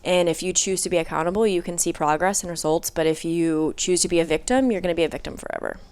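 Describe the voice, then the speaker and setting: A woman's voice: lowish voice, quick pace, a little mellow, clean tone. A woman guest in a casual podcast conversation, talking emphatically and filling her speech with "like".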